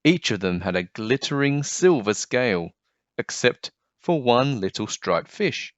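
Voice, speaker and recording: A man narrating a children's picture book in an expressive, scripted read-aloud style. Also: medium pitch, measured pace, dry recording, highs cut off.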